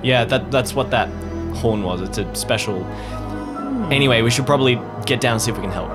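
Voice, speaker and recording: A man playing a brisk, blunt, matter-of-fact local in a tabletop game, pressing people with questions. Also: medium pitch, over loud music, lightly acted. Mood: alert.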